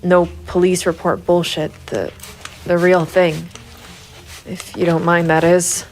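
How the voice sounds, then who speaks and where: A woman playing a hard-bitten, brooding female private investigator in a noir audio drama, with grim, measured delivery. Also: on the low side, flat delivery, a little mellow, slight background noise, natural voice. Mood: gentle, guarded. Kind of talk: noir first-person narration and audio drama dialogue. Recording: music behind the voice. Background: music.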